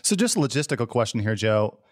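A man's voice: medium pitch, very animated, fast, dry recording, studio quality. A man co-hosting a podcast, asking a guest questions in a friendly, joking way. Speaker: podcast co-host. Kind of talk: interview questions.

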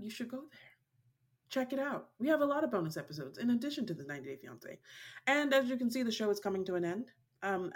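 Animated woman co-hosting a chat podcast. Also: a little high. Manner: animated, laughs often, plugs Patreon. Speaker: podcast co-host. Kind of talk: casual chat.

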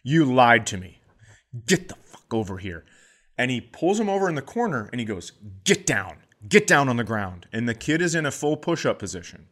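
A middle-aged man, a comedian co-hosting a podcast, telling stories in a casual, animated way and acting out the people in them. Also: medium pitch, moderate pace. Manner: animated, acts out voices in his stories.

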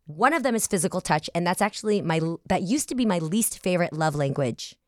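An excitable, bubbly woman in her forties hosting an interview podcast, laughing easily. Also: lowish voice, brisk, no room sound, clean studio sound. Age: 40s. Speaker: podcast host.

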